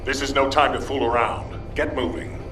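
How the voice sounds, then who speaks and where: In an echoey, noisy sound, an actor plays a stern commander for a video game. He is curt and commanding, with a middle-pitched male voice. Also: steady tone, normal speed, distant mic, natural voice. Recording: echoey, off-mic voice with background noise.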